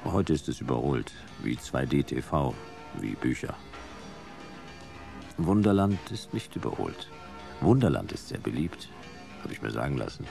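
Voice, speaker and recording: An actor playing a laconic, world-weary, sardonic private detective in a hard-boiled German radio play, dry and deadpan. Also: male voice, rumbling low, normal speed, creaky, pauses often, soft background music, full character voice.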